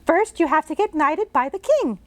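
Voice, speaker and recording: A woman voicing a bossy, imaginative little girl in a storybook read-aloud, in a high, bright, decisive child voice. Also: lively intonation, normal speed, no room sound.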